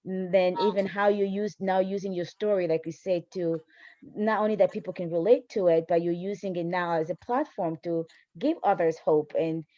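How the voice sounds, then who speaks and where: Lady interviewer, dark mellow tone, supportive chatty style, slightly muffled audio with no room echo. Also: fairly low pitch, fairly even intonation, normal speed. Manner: encouraging, affirming. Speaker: interview host. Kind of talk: casual, conversational interview.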